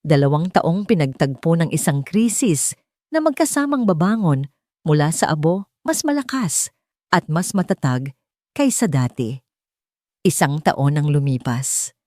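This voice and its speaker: A woman's voice: deep voice, lively intonation, a little slow, pauses often, warm tone, no echo, clean studio sound. A woman narrating a scripted dramatic story.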